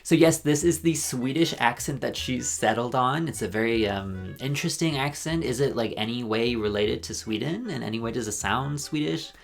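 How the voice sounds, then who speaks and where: A man giving a rambling, sarcastic video commentary with deadpan asides. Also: medium pitch, moderate pace, creaky, hardly any pauses, faint music behind. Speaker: commentary YouTuber. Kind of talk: ranking commentary.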